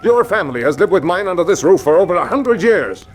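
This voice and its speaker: An actor playing a forthright, hot-tempered gentleman landowner in a radio drama, emphatic and blustering. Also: sits high, sing-song, fast, a little hiss or hum. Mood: indignant.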